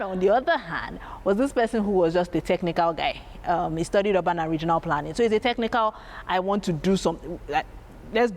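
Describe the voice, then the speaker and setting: A woman with a strong, loud voice, telling stories about her family as an interview guest, animated and laughing as she acts out her parents. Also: lowish voice, a little hiss or hum. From Nigeria. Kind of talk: candid storytelling.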